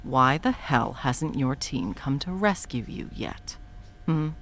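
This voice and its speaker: Woman playing a taunting, low-voiced supervillain. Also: animated, takes it slowly, fairly bright tone, slight background noise, exaggerated voice. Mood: menacing. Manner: taunting, profane, swings between whispers and outbursts. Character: menacing female supervillain, cruel, scheming, mocking. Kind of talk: audio roleplay acting.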